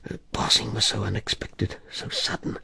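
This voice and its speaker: A man acting in an audio drama as a weary, grieving former policeman, brooding and hesitant, with a husky, gravelly voice. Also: normal speed, pushed and bright, dry recording. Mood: troubled, brooding. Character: grieving, weary male ex-policeman, confiding and troubled.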